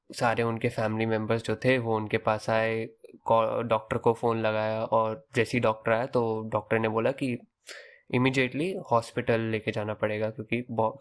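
A young man hosting a podcast, telling a story in a loose, conversational way with English words mixed in. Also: lowish voice. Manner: conversational, Hinglish fillers and restarts. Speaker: podcast host. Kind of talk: casual storytelling.

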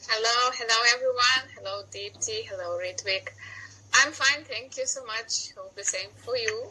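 A woman's voice: a little high, normal speed, sharp tone, slightly rough, rough recording. A woman in her forties from Russia with a Russian accent, a podcast guest chatting casually and sometimes searching for words. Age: 40s.

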